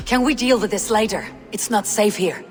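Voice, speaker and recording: A woman's voice: mid-range voice, moderate pace, natural voice. Game casting: actress as stern Norse warrior woman, terse and commanding, reverberant hall, music underneath. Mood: firm, urgent. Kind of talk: dramatic video game acting.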